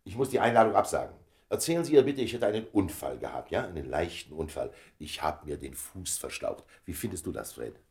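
A man's voice: low voice, big pitch swings, a little fast, a little mellow. An actor playing a weary, dryly witty statesman in his fifties in a German radio drama, speaking with emphatic authority. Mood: suave, evasive.

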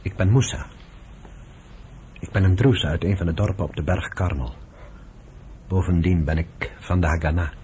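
Actor as low voiced village resistance man in a radio play, courteous, matter of fact, bit of noise behind. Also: normal speed, long pauses, natural voice. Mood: polite, urgent. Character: polite, businesslike Druze villager, underground fighter. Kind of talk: radio drama acting.

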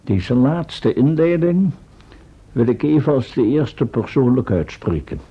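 Gravelly, pompous professor. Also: male voice, mid-range voice, sing-song, unhurried, exaggerated voice. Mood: solemn. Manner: formal, wordy, pedantic. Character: pompous, easily affronted male professor. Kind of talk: radio drama acting. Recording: muffled old radio recording.

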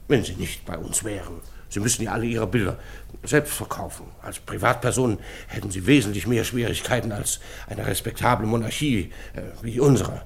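A creaky, fairly low male minister from a satirical radio drama. He is officious and easily flustered, blustering in halting phrases as he scolds and placates. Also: slight background noise. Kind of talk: satirical radio play dialogue.

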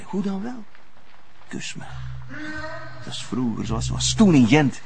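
Noisy recording, a desperate flemish ex-boyfriend, gravelly, begging and insistent. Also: male voice, a little high, very animated, a little slow, full character voice. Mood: urgent, pleading. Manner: pleading, insistent. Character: pleading, lovesick male ex-lover, insistent and wounded. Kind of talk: naturalistic TV drama acting. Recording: clear background noise.

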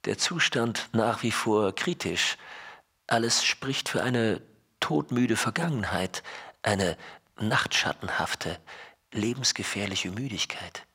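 A man's voice: lowish voice, slow, sharp tone, slightly rough, full character voice. A man playing an overtired night-shift hospital worker in a radio play, speaking in a clipped, matter-of-fact clinical report style. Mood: dry, clinical.

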